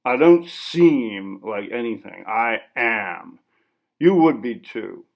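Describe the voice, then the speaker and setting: A man performs a foul-mouthed, paranoid male artist from a literary ghost story. He rants in an agitated, insistent way, with a creaky, gravelly edge to a mid-pitched voice. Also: expressive, slow pace. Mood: brooding, irritable. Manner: profane, insistent, ranting.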